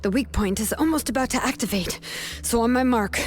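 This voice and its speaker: A woman's voice: fairly high pitch, brisk, close to an everyday voice. A woman playing a cool-headed, shrewd adventurer in a video game, dry and decisive in manner. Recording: faint music behind the voice.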